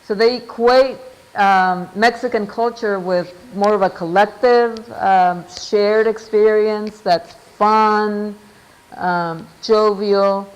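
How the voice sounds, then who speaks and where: Woman, middle-aged, academic seminar speaker, measured, thoughtful, frequent pauses. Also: mid-range voice, steady tone, poor audio. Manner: measured, reads out quotes, frequent ums and "right?". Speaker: researcher giving a seminar talk. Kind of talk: academic lecture.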